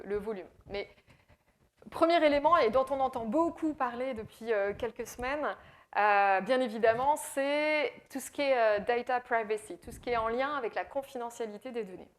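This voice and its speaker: A woman from France with a French accent delivers a business presentation. Her voice sits a little higher than most. She explains step by step, with frequent fillers and repeated words as she thinks aloud. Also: expressive, normal speed. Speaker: AI consultant speaker. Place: presentation in Quebec.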